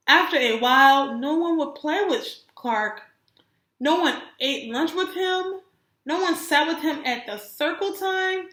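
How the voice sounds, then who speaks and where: A woman's voice: high voice, a bit bright, echoey room. A woman teacher reading a children's story aloud expressively, stopping to put questions to young listeners. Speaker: kindergarten teacher.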